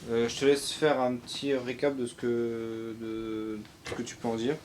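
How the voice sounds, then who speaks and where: Guy rambling thru a casual review, kinda hesitant, normal pitch, bit of room noise. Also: completely flat delivery, normal speed, a little mellow. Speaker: co-host of a video review. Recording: faint background noise.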